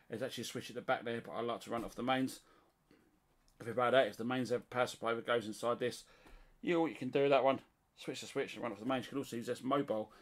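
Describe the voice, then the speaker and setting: A man with a British accent presents his hobby equipment in an informal, hands-on way. His voice sits at an average pitch. He rambles along colloquially, speaking from behind the camera in a small room at home. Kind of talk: casual hands-on show-and-tell. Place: small indoor room at home, radio shack.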